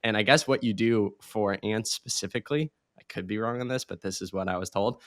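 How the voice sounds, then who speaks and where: A man hosting a podcast, asking questions and telling stories in a relaxed, rambling way. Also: lowish voice, fairly quick, no room sound, clean studio sound. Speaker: podcast host. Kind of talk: casual interview.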